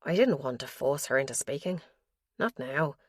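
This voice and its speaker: A woman's voice: rumbling low, expressive, normal speed, slightly rough, dry recording, clean studio sound, exaggerated voice. A woman voicing an elderly father who pours his fears about his son into a diary, anxious and guilt-ridden.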